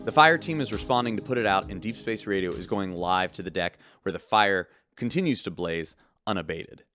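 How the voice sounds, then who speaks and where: A man's voice: low-pitched, brisk, a bit bright, soft background music. An actor playing a male news reporter on a spaceship's radio station in a sci-fi audio drama. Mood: urgent.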